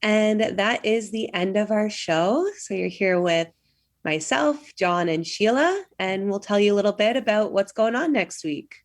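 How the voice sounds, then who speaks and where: A young woman co-hosting a podcast. Her voice has an average female pitch. She talks in an informal, conversational style. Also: a little slow. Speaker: podcast co-host. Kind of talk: casual chat.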